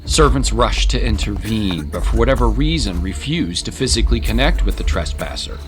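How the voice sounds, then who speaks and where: A male narrator delivers a scripted dramatic story. His voice is low, and quiet music plays beneath the storytelling. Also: lively intonation, normal speed.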